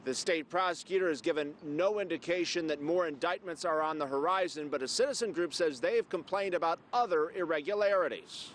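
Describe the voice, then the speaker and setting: Man, American accent, higher-pitched, TV news reporter, scripted delivery, faint background noise. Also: brisk, few pauses. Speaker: TV investigative reporter.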